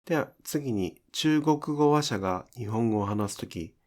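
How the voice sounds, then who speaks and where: A man's voice: medium pitch, expressive, measured pace, darkish tone. A man giving scripted instructional narration as a pronunciation teacher, enunciating carefully step by step.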